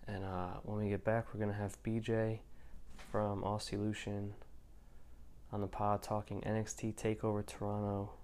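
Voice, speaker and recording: A man hosting a podcast in casual chat, relaxed and loose, with plenty of fillers and restarts. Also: on the low side, normal speed. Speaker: podcast host.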